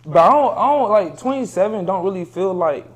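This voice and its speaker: A man's voice: high voice, expressive, a little fast. A man in his late twenties, a comedian guest, talking in laid-back slang and laughing often. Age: 20s. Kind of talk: casual chat.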